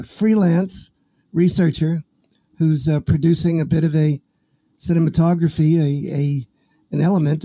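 A man hosting a podcast, folksy and energetic, often restarting his words, with a dry close-mic sound. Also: on the high side, dry recording. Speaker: podcast host. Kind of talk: casual interview with promo reads.

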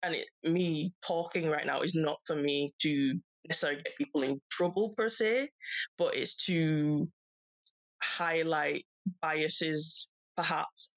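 A woman's voice: sits low, rough recording. Chatty woman. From Yorkshire, England. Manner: chatty, laughs often, animated quoting of others. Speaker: podcast guest. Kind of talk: casual conversational storytelling.

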